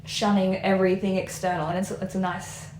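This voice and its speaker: A woman's voice: medium pitch, flat delivery, brisk, a little mellow. A woman hosting a podcast, asking her guest open questions in an encouraging way. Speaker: podcast host. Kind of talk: casual interview talk. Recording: echoey room with faint noise.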